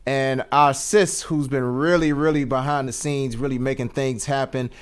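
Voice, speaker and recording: A man's voice: medium pitch, fairly even intonation, moderate pace, clear, resonant tone. Effusive man hosting a casual livestream. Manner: effusive, repeats greetings and thanks. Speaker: show host.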